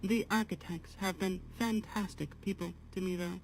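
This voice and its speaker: A woman voicing a polite, apologetic artificial-intelligence assistant on a livestream, speaking formally in measured, halting phrases. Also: fairly low pitch, steady tone, a little mellow, a little hiss or hum, rough recording. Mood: polite, wistful. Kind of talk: slow guided talk to a live chat.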